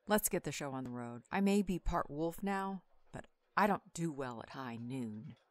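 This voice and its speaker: A sardonic, flirty part-wolf woman voiced by an actress with a playful menace, for an audio roleplay. Her slightly low voice moves up and down a lot. It is recorded close and dry. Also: expressive, moderate pace, dry recording. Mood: sassy, playful.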